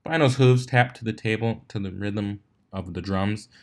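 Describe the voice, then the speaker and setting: A man's voice: fairly low pitch, normal speed. Man reading fan fiction aloud. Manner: stumbles and rereads phrases. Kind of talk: scripted story narration with character dialogue.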